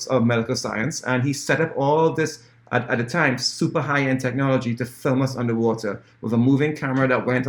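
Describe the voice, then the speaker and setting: A man's voice: medium pitch, reverberant. A man in his forties from Trinidad and Tobago, co-hosting a casual chat and telling stories from his swimming days. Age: 40s. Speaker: podcast co-host, former swimmer.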